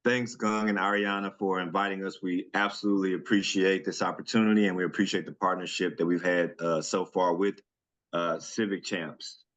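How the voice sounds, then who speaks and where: Deep-voiced man presenting a webinar. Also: fairly quick. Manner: relaxed, chatty, with frequent ums and filler restarts. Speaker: senior director presenting a webinar. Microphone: computer mic on a video call.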